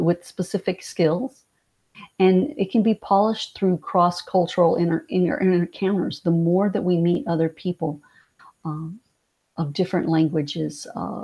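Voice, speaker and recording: Hoarse woman webinar presenter, low gravelly voice, halting delivery. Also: mellow, rough recording. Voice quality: raspy. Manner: halting, with many fillers and restarts.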